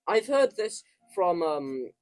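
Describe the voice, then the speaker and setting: Teen student, mid-pitched voice, dry close mic, rambling casual chat. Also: sing-song, slow pace, a little mellow, no echo. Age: teens. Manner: rambling, full of ums and restarts. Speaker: podcast co-host.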